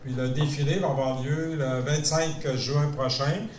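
A man with a Canadian French accent giving an informal talk to residents, halting, with many fillers and restarts. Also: on the high side, steady tone, darkish tone, slight background noise. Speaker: director general of a seniors' federation. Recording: echoey room, mic at a distance.

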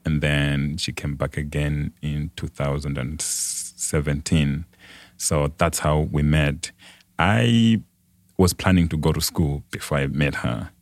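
A young man with a Malawian accent and an exceptionally deep voice. He tells his life story in a conversational way as a guest, in a dry recording with hardly any room sound. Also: steady tone, slow, no echo. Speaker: podcast guest.

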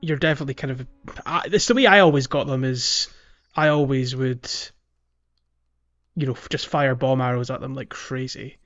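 A man co-hosting a casual gaming podcast, speaking in hesitant, halting phrases. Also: medium pitch, a bit bright, dull audio. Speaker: podcast co-host. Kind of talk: casual video game discussion.

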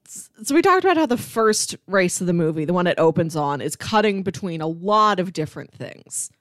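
A woman's voice: on the low side, lively intonation, a little fast, no room sound, clean studio sound. A woman co-hosting a casual film discussion, speaking in an enthusiastic, emphatic way full of hyperbole. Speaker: podcast co-host.

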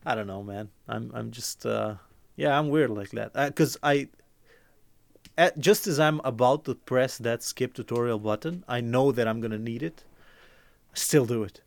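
A man's voice: mid-range voice, normal speed, gravelly. A man from Croatia, a podcast guest in marketing, chatting easily with dry humor and frequent laughs. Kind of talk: casual chat.

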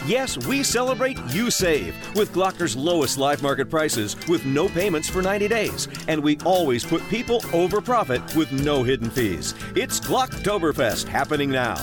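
A man's voice: on the high side, sing-song, moderate pace, few pauses. A man announcing a scripted radio commercial. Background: music bed.

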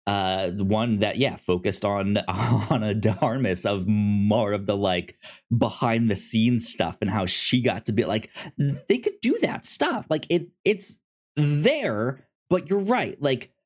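A man co-hosting a casual movie review discussion, speaking in a halting way with many repeated words and restarts. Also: lowish voice, lively intonation. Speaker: podcast co-host reviewing movies.

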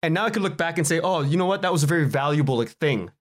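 A man speaking casually as a podcast guest, in a halting, rambling way, often repeating words and cutting himself off. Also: fairly high pitch, animated. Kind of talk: casual interview conversation.

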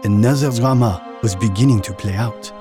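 A man's voice: on the low side, moderate pace, music in the background, close to an everyday voice. An actor playing a grown son who narrates memories of his father in a solemn dramatic style. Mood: solemn, reflective.

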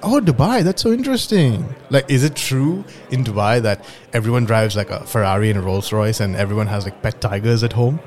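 A man's voice: medium pitch, lively intonation, a little fast, darkish tone, lightly acted. A man voicing a cheerful, curious young woman student who is bubbly and eager with her questions.

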